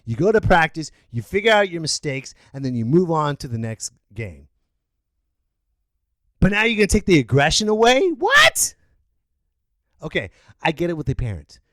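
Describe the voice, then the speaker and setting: Podcast host, male, animated rant voice, swings wide in pitch, close dry sound. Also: moderate pace, pauses often, dry recording. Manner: loud, animated ranting with sudden yells. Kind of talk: opinion rant.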